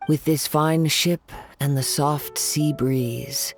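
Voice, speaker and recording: A man voicing a young, serene wandering samurai in a video game, with a soft, breathy voice and a poetic, unhurried, gentle manner. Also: fairly high pitch, fairly even intonation, soft background music. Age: young adult.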